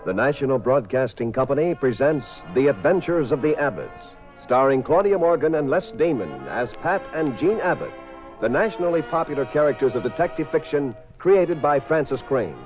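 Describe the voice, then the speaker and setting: A man's voice: mid-range voice, expressive, normal speed, faint music behind. A man announcing a radio drama in a formal, polished 1950s promotional style, on a muffled vintage recording.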